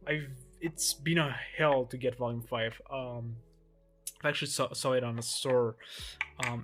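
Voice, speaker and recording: A young man from Portugal whose native language is Portuguese, casually showing his manga shelves in a relaxed, rambling way with frequent ums and restarts. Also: mid-range voice. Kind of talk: casual collection tour vlog.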